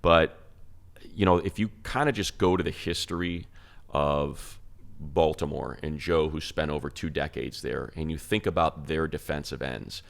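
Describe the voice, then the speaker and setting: A man's voice: very deep voice, moderate pace, creaky. A man chatting casually and emphatically as a football show panelist, breaking down players and game film.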